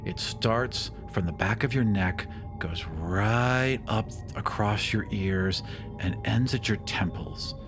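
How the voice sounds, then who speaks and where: A man with a low voice acts as game master. He narrates atmospherically in deliberate, dramatic phrases, with music clearly behind him.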